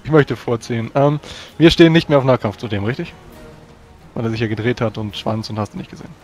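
A man's voice: mid-range voice, quick pace, soft background music. A man playing a tabletop role-playing game, chatting casually through his moves and sums.

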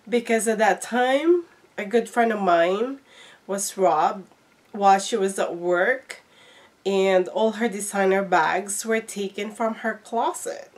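A middle-aged woman, a little congested from flu, talking casually as a vlogger and showing things to viewers in an unboxing video. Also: mid-range voice. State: slightly congested, recovering from flu. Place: small indoor room at home.

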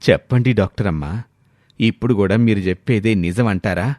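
A man's voice: lowish voice, fast talker, darkish tone, dry recording, clean studio sound, natural voice. A man voicing an elderly grandfather in a story, suspicious and demanding, with confrontational, insistent questioning. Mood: stern, demanding.

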